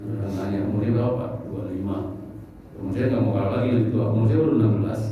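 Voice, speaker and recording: A man's voice: lowish voice, rapid-fire, a little hiss or hum. A man preaching a joking, conversational mosque sermon and asking his listeners questions. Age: 50s. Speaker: Islamic preacher. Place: large mosque hall. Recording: echoey, distant, dull mosque recording.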